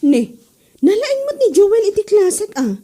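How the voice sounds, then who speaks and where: Woman acting high, swooping chatty co-teacher. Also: big pitch swings, moderate pace, dark tone. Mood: curious, coaxing. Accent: Ilocano. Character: chirpy, sympathetic fellow schoolteacher. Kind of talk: comedy drama acting.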